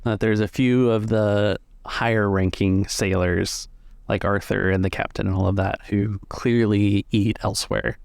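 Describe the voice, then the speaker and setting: A man's voice: on the low side, fairly even intonation, measured pace, vocal fry, darkish tone, smooth, no room sound, studio quality. A man acting as game master, improvising the narration of a tabletop roleplaying game. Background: music.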